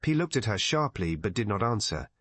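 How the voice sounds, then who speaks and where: A male narrator reads story prose in a fairly low voice. His delivery is very expressive in pitch, and the recording is dry and close. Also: a little fast, husky, no echo. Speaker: audiobook narrator.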